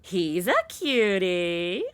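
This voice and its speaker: A woman's voice: on the low side, expressive, very slow, clean tone. A young woman playing an irreverent, chatty modern sidekick with a sassy, casual manner in a comedic audio drama. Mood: cheeky. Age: young adult.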